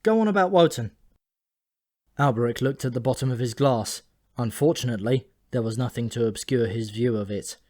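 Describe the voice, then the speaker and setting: A man's voice: medium pitch, steady tone, brisk, mellow, dry recording, studio quality. A man reading scripted story narration as an audiobook narrator.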